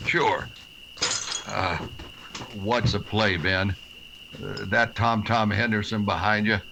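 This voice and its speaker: An actor playing a stern, terse western marshal, commanding in dialogue and narration in a radio drama. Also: male voice, on the low side, steady tone, slow pace, gravelly, noisy background. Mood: wary questioning. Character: stern, laconic frontier marshal, authoritative narrator.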